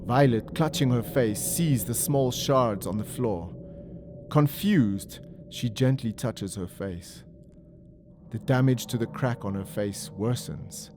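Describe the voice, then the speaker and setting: A man giving solemn, measured, scripted narration for a dramatic audio story. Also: medium pitch. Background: music and ambience.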